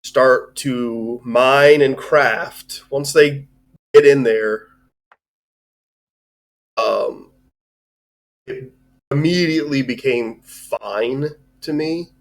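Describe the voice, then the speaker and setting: A man in a casual film discussion, speaking at a middling pitch. He rambles as he goes, stopping and restarting his thoughts, and swears freely. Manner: rambling, halting, often swearing. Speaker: movie review show host.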